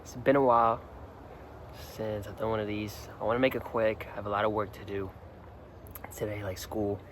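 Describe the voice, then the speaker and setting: A young man in casual talk to the camera, slightly hoarse from singing the night before, rambling earnestly and repeating his words. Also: lowish voice, flat delivery, moderate pace, a bit bright, a little hiss or hum. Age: young adult. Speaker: vlogger. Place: outdoors, hot and sunny.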